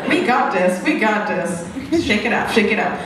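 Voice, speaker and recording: A woman's voice: medium pitch, animated, moderate pace, crowd noise. A woman doing stand-up comedy as a comedian, with a rambling, giggly delivery that keeps interrupting itself. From Hungary. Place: comedy club stage. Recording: echoey, noisy club room, distant mic.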